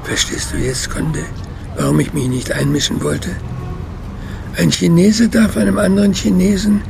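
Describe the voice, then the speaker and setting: An actor playing an old Chinese man in a radio drama, sorrowful, dignified and halting. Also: sits high, fairly even intonation, creaky, noisy background. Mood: sorrowful, ashamed. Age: older.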